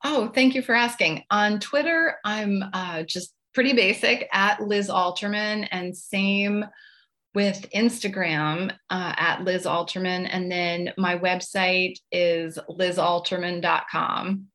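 A woman with a slightly low voice speaks as a guest author. Her manner is polite and modest. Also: fairly even intonation, slow pace, a bit bright. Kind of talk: casual interview chat, then reading own writing aloud.

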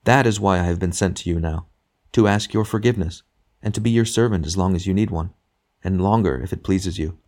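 A male narrator plays a serene younger spirit, a redeemed sinner in a storybook. He speaks with calm, kindly frankness and a quiet joy, patiently urging and reassuring. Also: sits low, a little fast, close to an everyday voice. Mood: frank, kindly. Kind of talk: literary audiobook character dialogue.

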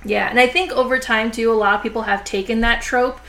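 A woman hosting a casual horror film review podcast, chatty and full of "like" and "you know" fillers, talking a bit slowly because her jaw is sore. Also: fairly high pitch, fairly even intonation, fairly bright tone.

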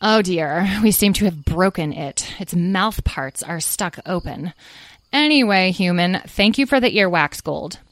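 A woman's voice: on the low side, expressive, unhurried, no echo. Lady doing a dainty greedy lil storybook fairy, very full of itself, dry sound. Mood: airy. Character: dainty yellow fairy, ageless, greedy, grandly self-important.

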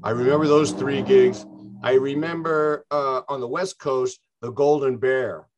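Older guy rambling on in a chatty interview, lots of restarts, mid-pitched. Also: fairly even intonation, a little slow, darkish tone, highs cut off, soft background music. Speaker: interview guest, musician. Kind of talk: casual interview chat.

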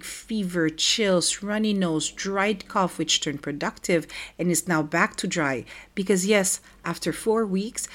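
A middle-aged woman hosting a podcast, telling a personal story in a candid, wry, conversational way, her voice still slightly rough after the flu. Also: lowish voice. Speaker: podcast host and life coach. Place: home.

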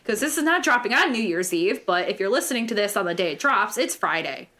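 A woman's voice: medium pitch, big pitch swings, quick pace, sharp tone. A woman co-hosting a true crime podcast in casual banter, informal and giggly, swearing freely.

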